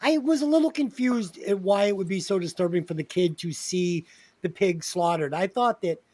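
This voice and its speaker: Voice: mid-range voice, normal speed, mellow. A podcast co-host in casual comedy movie-review chat, reading out plot summaries and swearing casually.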